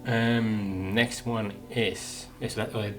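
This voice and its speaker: A man's voice: lowish voice, level tone, slow, a bit bright. A man giving casual playthrough commentary, thinking aloud in a hesitant, musing way. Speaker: gaming video host. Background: game music.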